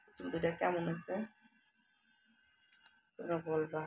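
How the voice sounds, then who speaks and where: Low, creaky Bangladeshi woman vlogging. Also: flat delivery, measured pace, darkish tone. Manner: colloquial, chatty, addressing viewers directly. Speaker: home vlogger and mother. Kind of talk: casual home cooking vlog chat. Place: at home, partly in the kitchen while frying. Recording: noisy home recording with clicks.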